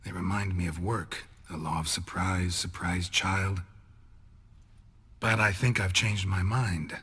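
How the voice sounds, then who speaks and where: An actor with an American accent playing a gruff, laconic monster hunter in a video game, dry-witted and affectionate with his lover, in a gravelly voice. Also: fairly even intonation, slow pace, a bit bright, heavily acted. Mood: warm, understated. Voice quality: gravelly, low. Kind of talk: video game cutscene acting.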